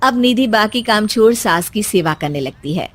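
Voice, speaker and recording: A woman's voice: mid-range voice, expressive, moderate pace, no room sound. A woman narrating a scripted cartoon story as its storyteller.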